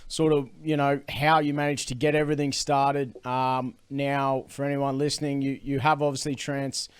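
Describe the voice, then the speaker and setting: A man's voice: medium pitch, flat delivery, moderate pace, smooth, no echo. Australian male podcast host, chatty, teasing, dry close sound. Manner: friendly banter, frequent short agreements. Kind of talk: casual interview.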